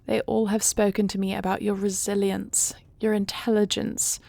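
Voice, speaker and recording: A regal dragon queen acted by a woman in an audio drama, earnest and motherly. Her medium-pitched voice has a creaky edge and is recorded dry and close. She speaks in formal, measured phrases with a gentle touch. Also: flat delivery, dry recording. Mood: reassuring, stately. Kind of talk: scripted audio roleplay drama.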